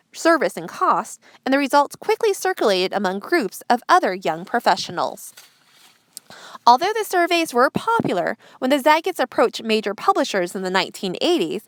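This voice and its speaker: Female host for scripted news-feature narration, higher register, animated melody, dry sound. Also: no room sound. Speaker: host reading articles aloud.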